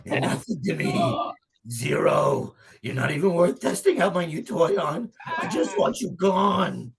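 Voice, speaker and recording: A man's voice: on the high side, sing-song, measured pace, gravelly, a crowd in the background, full character voice. A man playing a foul-mouthed, domineering crime boss who rages with megalomania in a comedy table read. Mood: furious. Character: raging megalomaniac male crime boss, obsessed with destroying humor.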